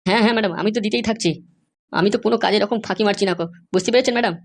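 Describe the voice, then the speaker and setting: A woman's voice: mid-range voice, racing, lightly acted. A woman voicing an anxious, obedient accomplice in a comedy cartoon, speaking in a worried and deferential way. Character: anxious, obedient accomplice serving a scheming madam.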